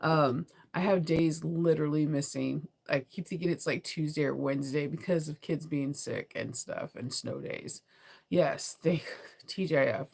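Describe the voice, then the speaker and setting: Congested American woman, lowish voice. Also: moderate pace, vocal fry, a little mellow, husky. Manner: rambling, giggly, conversational; sleepy, low energy. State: congested with a cold, voice going in and out. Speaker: sewing vlogger. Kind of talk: casual livestream chat.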